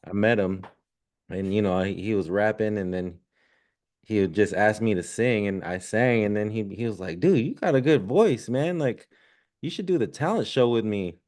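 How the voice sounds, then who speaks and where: Laid-back man with smooth low voice. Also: normal speed, darkish tone. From born in Zambia, raised in Washington state. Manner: laid-back, slangy, says man and dude often. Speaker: guest musician and restaurant owner. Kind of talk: casual storytelling.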